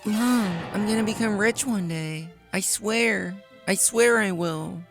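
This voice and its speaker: Man doing a high-pitched, earnest young boy. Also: takes it slowly, music in the background. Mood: determined. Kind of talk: manga story dub.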